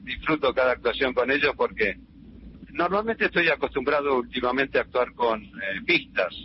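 An older man with an Argentine accent, a singer being interviewed on radio, speaking in an unhurried way with fillers. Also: mid-range voice, expressive, slight background noise. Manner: unhurried, hesitant storytelling with fillers. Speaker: guest singer. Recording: dull radio studio sound.

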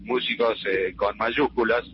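An older man with an Argentine accent, a singer being interviewed on radio, speaking in an unhurried way with fillers. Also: lowish voice, fairly even intonation, noisy background. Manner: unhurried, hesitant storytelling with fillers. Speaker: guest singer. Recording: dull radio studio sound.

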